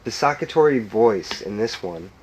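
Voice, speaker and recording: A man's voice: lowish voice, lively intonation, normal speed, a little mellow, slight background noise, poor audio. A man, a filmmaker, chatting enthusiastically through a commentary track and filling his talk with "like" and laughs. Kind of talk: director's commentary chat.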